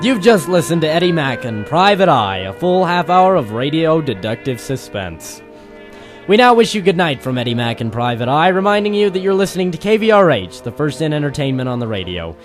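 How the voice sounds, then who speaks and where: Old-time radio announcer male actor, dramatic, formal delivery, higher-pitched, clear music behind. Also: big pitch swings, fairly quick. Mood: brisk, dramatic.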